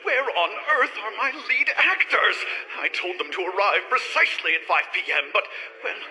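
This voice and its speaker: A man playing an excitable, pompous theme park boss in a cheesy radio drama, with florid, theatrical delivery. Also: high voice, moderate pace, in a large hall, heavily acted, rough recording. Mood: panicked, despairing.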